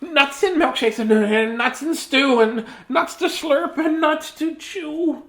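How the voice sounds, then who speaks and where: A woman's voice: high-pitched, takes it slowly, fairly bright tone, voice pushed up, full character voice. A woman voicing a dramatic squirrel who is fed up with nuts, in a singsong, wailing way. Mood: exasperated.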